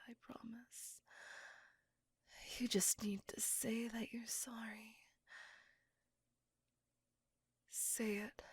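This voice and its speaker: Breathy, slow-speaking vampire woman voiced by an actress. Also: medium pitch, dry recording, studio quality, exaggerated voice. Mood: menacing, coaxing. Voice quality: breathy, often whispered. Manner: intense, dramatic, slow. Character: vengeful female vampire, centuries old, possessive, grieving lover. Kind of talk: ASMR roleplay voice acting.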